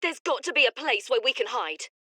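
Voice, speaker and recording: A woman playing an anxious, sharp-tongued factory worker in a game drama, urgent and exasperated. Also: sits high, animated, fairly quick, slightly rough, exaggerated voice. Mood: urgent, pleading.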